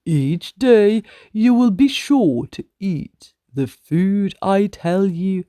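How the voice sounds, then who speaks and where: A wise old mother-bird healer from a storybook, acted out by a woman. She booms out firm, encouraging instructions very slowly and deliberately, with lively rises and falls and a soft-edged, mellow tone. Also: dry recording, studio quality. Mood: firm, instructive. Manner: booming, instructive, measured.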